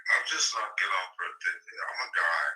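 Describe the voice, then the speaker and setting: A man on a phone call, apologizing over and over in a halting, repetitive way. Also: low voice, lively intonation, sharp tone, echoey room, recorded from a distance. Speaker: caller on a livestream.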